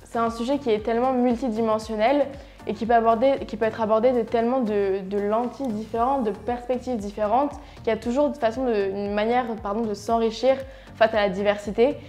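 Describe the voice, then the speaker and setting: A woman's voice: a little high, fairly even intonation, brisk, a little mellow, faint music behind. A young adult woman co-hosting a conversational interview, speaking in a thoughtful, articulate way. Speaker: co-host, agency CEO.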